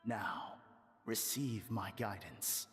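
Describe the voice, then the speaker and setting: A man's voice: lowish voice, takes it slowly, fairly bright tone, faint music behind. A man voicing a grave, formal, mysterious spiritual counselor in a video game, speaking with hypnotic authority. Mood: solemn. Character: solemn male spiritual counselor, mysterious, commanding. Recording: long cavernous reverb.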